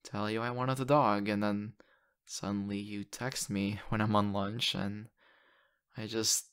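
A man playing an excitable, sappy young boyfriend in an audio roleplay, talking in a gushing, hesitant, stammering way into a close mic. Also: lowish voice, a little slow, clean tone. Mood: earnest, nervous. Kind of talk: ASMR audio roleplay, conversational acting. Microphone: close condenser microphone.

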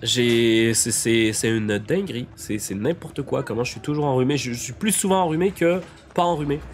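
A young man streaming a game live, chatting to his viewers, a little nasal from a cold, repeating himself when excited. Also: mid-range voice, normal speed. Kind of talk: live gameplay commentary.

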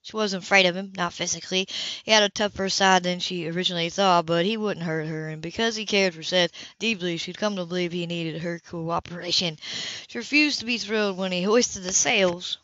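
A woman's voice: fairly low pitch, fairly quick, fairly bright tone, dry recording, highs cut off. A woman narrating a novel as an amateur reader, now and then stumbling and rereading words. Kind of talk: scripted fiction narration.

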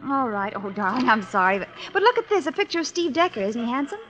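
An actress playing an eager, headstrong wife and amateur detective in a 1950s radio mystery. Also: female voice, fairly high pitch, very animated, fast, a bit bright. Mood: distracted, sweet. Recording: dull vintage 1950s radio recording.

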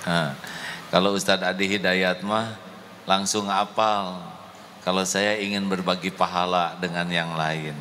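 Slow, deliberate preacher in an echoey hall. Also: male voice, deep voice, bright, forceful tone, slight background noise. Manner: slow, deliberate, emotive, addresses the congregation. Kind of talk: mosque sermon. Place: large mosque hall, indoors. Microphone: handheld microphone. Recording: echoey hall with faint ambience.

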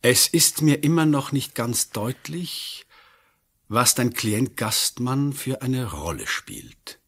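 An actor playing a conciliatory, hesitant investigating magistrate, speaking formally and cautiously in a radio play. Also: male voice, medium pitch, big pitch swings, slow, fairly bright tone, breathy edge, full character voice. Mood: puzzled. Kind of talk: German-language radio play acting.

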